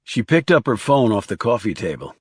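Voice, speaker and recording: A man's voice: lowish voice, sing-song, brisk, breathy edge, no echo, studio quality. A man reading scripted thriller narration as an audiobook narrator.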